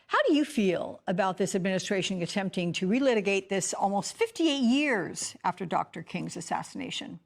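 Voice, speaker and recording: A woman's voice: medium pitch, animated, a little fast, creaky, few pauses. A woman anchoring a news broadcast, putting questions to a guest in a presenter's style.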